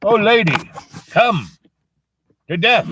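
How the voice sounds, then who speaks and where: Man voicing insistent skeletal Death, baffled, pleading, slightly high, animated pitch, storytelling character. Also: slow pace, husky, exaggerated voice. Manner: insistent, exasperated. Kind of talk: oral storytelling.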